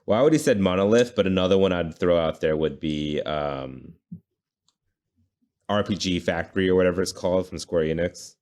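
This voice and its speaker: Male podcast co-host, deep pitch, informal conversational gamer talk. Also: brisk, darkish tone. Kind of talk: casual video game podcast chat.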